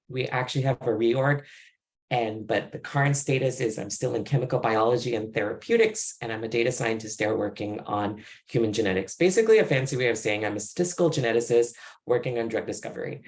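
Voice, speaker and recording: A man from Malaysia, a data scientist, giving a casual self-introduction on a panel webinar. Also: medium pitch.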